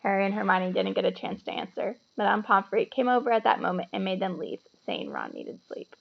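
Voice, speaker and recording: A woman reading a story aloud to children in an animated, explanatory way, with chatty asides. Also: mid-range voice, fairly quick, gravelly, muffled recording.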